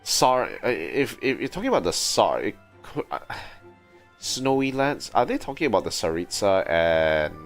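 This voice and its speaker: A man's voice: fairly low pitch, sing-song, moderate pace, soft background music. A man livestreaming a video game, musing and thinking aloud in casual commentary.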